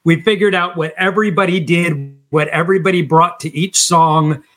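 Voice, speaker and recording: A man in his fifties speaks in a fairly high voice. He talks casually as a musician being interviewed, sounding enthusiastic and putting emphasis on his points. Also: fairly even intonation, normal speed, fairly bright tone. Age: 50s. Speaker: band singer being interviewed.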